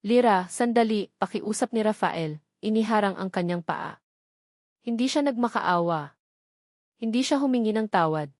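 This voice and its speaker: A woman reads a dramatic love story as its narrator. Her voice sits at a middle pitch. The recording is close and dry, with no room sound around her. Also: animated, normal speed, pauses often, no echo. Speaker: narrator of a romance audio story.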